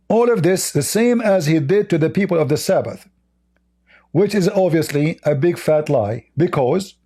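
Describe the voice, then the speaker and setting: Insistent debating man with a mellow voice. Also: on the high side. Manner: combative, insistent, repeats himself to interrupt. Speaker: debate show host. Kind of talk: heated religious debate.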